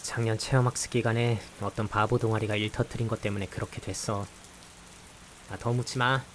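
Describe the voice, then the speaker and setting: A man playing an exasperated male high school student in a visual novel, blunt, dry and sarcastic, with a sighing delivery. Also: a little fast, natural voice. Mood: grumbling, annoyed. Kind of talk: visual novel voice acting with some first-person narration. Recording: hiss with steady rain behind.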